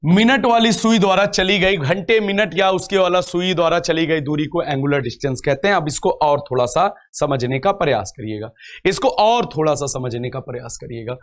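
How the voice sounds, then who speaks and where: A man's voice: on the high side, normal speed. A man teaching an exam class step by step, repeating phrases and asking rhetorical questions. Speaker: reasoning teacher for exam coaching. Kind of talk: live online lecture, explaining step by step.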